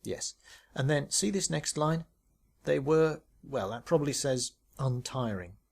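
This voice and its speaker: A man's voice: mid-range voice, expressive, unhurried, gravelly, darkish tone, slightly rough, full character voice. A man voicing a bookish, reluctant paintball player who muses hesitantly and a little pedantically. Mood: musing.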